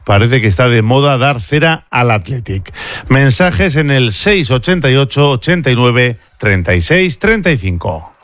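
A male sports radio host runs through results and listener messages in a brisk, conversational way. His mid-range voice sits close on a dry studio mic. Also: medium pitch, lively intonation, fairly bright tone, no room sound. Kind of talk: radio sports news presenting.

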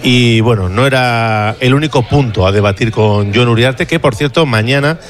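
A man presenting football news on the radio in a steady, informative way with light asides. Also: mid-range voice, normal speed, soft background music. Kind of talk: scripted sports news presenting.

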